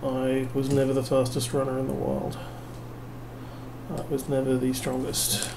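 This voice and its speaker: A tired man talks in a loose, self-mocking ramble full of fillers. His voice sits at an ordinary pitch with a gravelly fry. Clear noise hangs behind him in a home recording made at night. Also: completely flat delivery, measured pace. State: tired, unable to sleep. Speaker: vlogger. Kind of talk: casual late-night rambling while building a kit.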